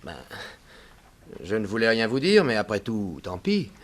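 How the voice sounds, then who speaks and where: A man's voice: lowish voice, sing-song. An actor playing a mild, sly witness telling his story in a 1960s French radio drama. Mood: insinuating. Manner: measured, explanatory storytelling.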